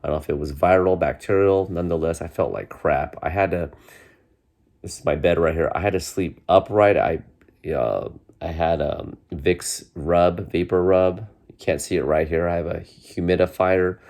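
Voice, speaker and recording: A man's voice: moderate pace, a little mellow. A man in his forties, congested from a cold, chatting casually in a rambling way to his viewers from his bedroom. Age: 40s. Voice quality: deep voice. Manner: rambling, many restarts and fillers. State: congested, getting over a cold. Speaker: vlogger. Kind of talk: casual chat to camera. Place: bedroom, indoors.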